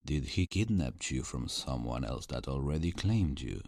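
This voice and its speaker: A man's voice: extremely low pitch, lively intonation, normal speed, gravelly, darkish tone, no echo, studio quality, full character voice. A man playing a smug, sadistic, centuries-old vampire in an ASMR roleplay, drawling with theatrical condescension. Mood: mocking.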